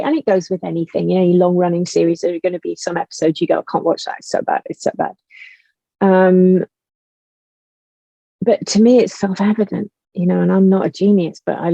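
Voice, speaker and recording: Female interview guest, mellow voice with vocal fry, emphatic and impassioned, casual chat. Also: lowish voice, no room sound, rough recording. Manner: emphatic, animated storytelling with exasperated rhetorical questions. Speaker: guest, audio drama writer. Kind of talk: passionate casual conversation.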